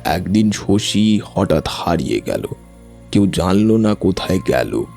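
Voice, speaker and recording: Man acting slow, gravelly old village uncle. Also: low-pitched, sing-song, darkish tone, full character voice. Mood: sombre. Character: older village uncle, reticent, recalling old secrets. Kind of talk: audio story drama. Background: music.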